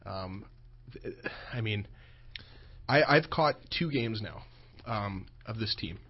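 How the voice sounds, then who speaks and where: A man co-hosting a sports radio show, joking around and giving animated hockey opinions. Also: fairly low pitch, slow, slightly rough. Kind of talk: casual sports radio talk. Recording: dull lo-fi broadcast with faint music.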